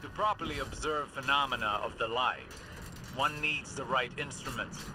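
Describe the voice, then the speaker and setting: Wise, earnest older male game mentor played by a male actor, formal and instructive, mid-pitched, clear rumbling noise behind. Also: natural voice. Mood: thoughtful, instructive. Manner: measured, lecturing, formal phrasing. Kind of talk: scripted video game dialogue. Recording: game audio with vehicle-like noise.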